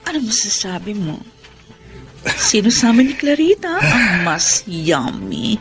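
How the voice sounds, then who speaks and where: An actress with a Filipino accent playing a brazen, flirty and jealous mistress in a radio romance drama. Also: female voice, mid-range voice, wildly swooping pitch, measured pace, music in the background. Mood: boastful, seductive.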